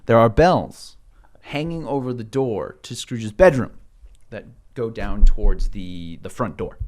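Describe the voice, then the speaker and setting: A man's voice: lowish voice, lively intonation, measured pace, a little mellow. A man running a tabletop role-playing game, narrating informally with jokes and asides. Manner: jokey, informal, acts out sound effects. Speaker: game master.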